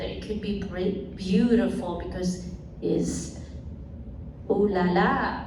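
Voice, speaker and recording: A woman speaking slowly and earnestly as a panelist at a live event. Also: medium pitch. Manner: slow, deliberate, earnest. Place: event hall. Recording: very echoey hall, far off, background noise.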